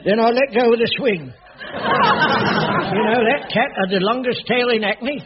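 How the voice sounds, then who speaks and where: An actor playing a grumbling, wily jobsworth caretaker with a working-class London accent in a radio sitcom. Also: male voice, extremely high pitch, very animated, unhurried, over a noisy crowd, very noisy, full character voice. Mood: rambling. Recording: dull old radio comedy recording.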